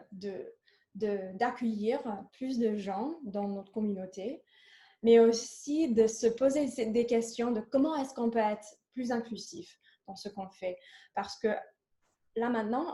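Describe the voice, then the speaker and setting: Hesitant woman, mellow voice. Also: medium pitch. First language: not French. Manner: hesitant, many fillers and restarts; slips into English words. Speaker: program lead at a coworking organization. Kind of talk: online panel presentation.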